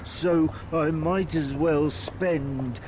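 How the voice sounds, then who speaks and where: Very slow, childlike middle-aged man. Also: a little high. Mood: earnest. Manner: literal, repetitive, flatly agreeable. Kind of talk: radio play dialogue. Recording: noisy outdoor scene with traffic.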